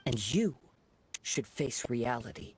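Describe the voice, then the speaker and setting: A man playing a calm, principled young wandering swordsman in a video game, speaking in measured, earnest, formal sentences. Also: medium pitch, slight background noise. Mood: reproachful. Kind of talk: dramatic video game voice acting. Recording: clear music underneath.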